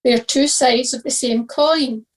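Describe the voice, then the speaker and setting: Female reader, fairly high voice, scripted devotional reflection. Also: steady tone, slow.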